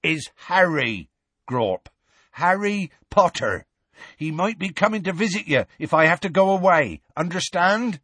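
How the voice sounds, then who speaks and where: Loud, husky, fondly scolding giant of a man. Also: high-pitched, expressive, measured pace, dry recording, pitched above the performer's own voice, full character voice. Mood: coaxing, presenting. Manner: shouting, coaxing, rustic colloquial speech.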